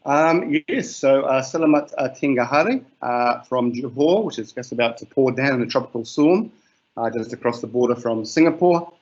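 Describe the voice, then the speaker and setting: A middle-aged New Zealand man presents a teaching webinar over a video call. He talks in a relaxed, unhurried way, full of fillers. The call audio is somewhat muffled. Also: mid-range voice.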